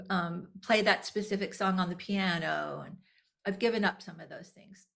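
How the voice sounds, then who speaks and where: A woman's voice: on the low side, normal speed, gravelly, fairly bright tone. A woman in her sixties, a podcast guest, talking candidly and reflectively, often restarting her sentences and laughing lightly. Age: 60s. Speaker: guest, nonprofit executive director. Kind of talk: conversational podcast interview.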